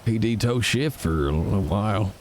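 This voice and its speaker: A man's voice: lowish voice, lively intonation, moderate pace, a little hiss or hum. A man chatting in character at a roleplay game, dry and teasing. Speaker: roleplay character, tow driver. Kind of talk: casual in-character chat.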